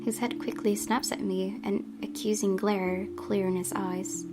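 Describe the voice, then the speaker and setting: A woman reading a visual novel aloud as expressive story narration. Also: mid-range voice, measured pace. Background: game music.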